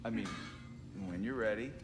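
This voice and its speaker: An actor playing a brash, cheeky, affectionate wounded character in a video game, rambling along unevenly. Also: male voice, lowish voice, moderate pace, over music, lightly acted. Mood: woozy, teasing. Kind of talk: animated video game acting.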